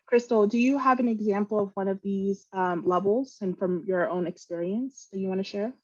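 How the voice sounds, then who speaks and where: A woman whose first language is Vietnamese presents a training webinar. She is earnest and sincere, pausing on fillers and restarting sentences. Her voice sits at a middle pitch, and the call audio sounds dull and muffled.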